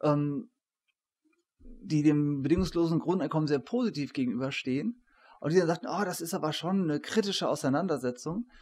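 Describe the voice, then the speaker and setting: Man, forties, slightly high pitch, academic interviewer, long qualified questions. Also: a little fast, a little mellow, breathy edge. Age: 40s. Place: small attic room, indoors. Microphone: studio microphone on desk.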